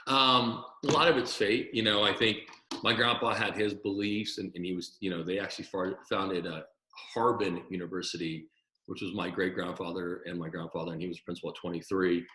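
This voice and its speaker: A man's voice: deep voice, steady tone, brisk, bright, forceful tone, roomy sound, low-quality recording. A man born in Taiwan, a podcast guest, telling his story earnestly in a casual interview and often repeating words as he starts sentences.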